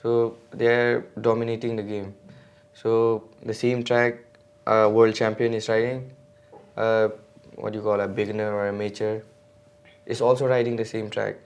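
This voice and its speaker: Guy in his 20s on a podcast, kinda low and flat, lots of uhs and likes. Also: normal speed, clear, resonant tone. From India. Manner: hesitant, full of fillers and restarts. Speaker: podcast guest, mountain bike racer. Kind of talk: casual podcast conversation.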